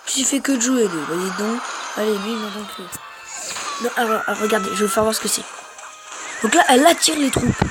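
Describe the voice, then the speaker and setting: A man's voice: sits high, fairly quick, pauses often, loud music behind the voice, poor audio. A man with a head cold giving rambling, hesitant gameplay commentary to his viewers. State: has a head cold, congested. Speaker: gamer.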